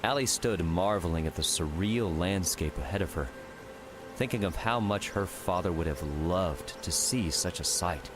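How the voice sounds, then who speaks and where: A man's voice: sits low, moderate pace. A man reading scripted fiction narration as an audiobook narrator. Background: music.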